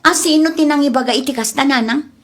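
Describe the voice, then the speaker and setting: A woman's voice: high-pitched, quick pace, natural voice. A woman acting an outspoken, emotional village woman in an audio comedy drama. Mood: concerned, soothing.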